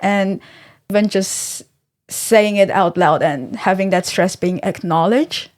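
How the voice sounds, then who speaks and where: Earnest young woman from Taiwan. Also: mid-range voice. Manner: thoughtful, earnest, pauses to find words. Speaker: guest researcher. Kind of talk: conversational interview.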